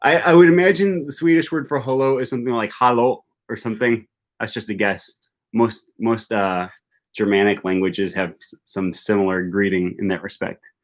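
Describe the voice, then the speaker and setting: A man's voice: lowish voice, fairly even intonation, moderate pace. A young man hosting a live language-learning discussion, talking in an emphatic, teacherly way and often checking in with "okay" and "right.". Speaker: language learning channel host. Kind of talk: live-stream discussion, reading chat comments.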